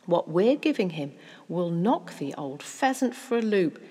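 Woman acting an excitable, scheming storybook dad, swooping pitch. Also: measured pace, breathy edge, in a large hall, full character voice. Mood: bubbling enthusiasm. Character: excitable, scheming, affectionate father of a young boy. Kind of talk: children's storybook character acting.

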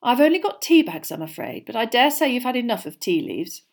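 A woman voicing a thoughtful, kindly male teacher in a storybook, speaking gently and politely. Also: on the high side, sing-song, brisk, pitched above the performer's own voice.

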